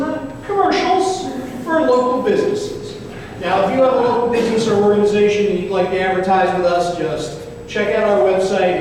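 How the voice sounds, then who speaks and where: A man's voice: sits high, a little mellow. A man introducing a stage show to an audience as its presenter, informally and with jokey asides. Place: museum venue in an old courthouse, before live audience. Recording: very echoey hall, distant mic, faint noise.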